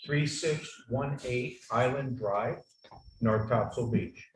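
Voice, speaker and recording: A man addresses a town board during public comment, his loud voice turning earnest and forceful. He is picked up from a distance in an echoey meeting room. Faint noise runs underneath. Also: mid-range voice, slow pace. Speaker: resident giving public comment. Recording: echoey hall, mic far off, faint noise.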